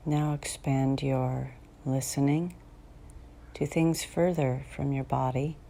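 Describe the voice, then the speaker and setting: A woman leading a guided meditation in a soothing, unhurried manner with long pauses, her soft voice sometimes dropping to near a whisper. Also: very deep voice, gravelly. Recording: faint background noise and ambience.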